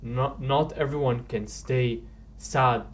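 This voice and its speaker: A man hosting a podcast, asking questions in a measured, hesitant way with many "uh"s. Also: medium pitch, steady tone, very noisy. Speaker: podcast host. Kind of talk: casual interview.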